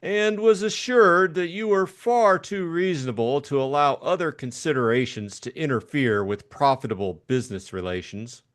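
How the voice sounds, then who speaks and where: A man's voice: a little high, moderate pace, few pauses, fairly bright tone, pitched above the performer's own voice, lightly acted. A man voicing a suave, effete male schemer from a crime novel, with formal, mannered, evasive politeness. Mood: suave, polite.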